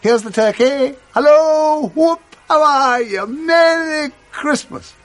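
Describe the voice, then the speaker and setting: An actor playing a joyful, newly reformed elderly Englishman in a 1960s radio drama, excitable and effusive. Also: very high-pitched, slow pace, faint music behind. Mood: exuberant. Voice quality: excitable, chuckling. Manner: exclamatory, effusive.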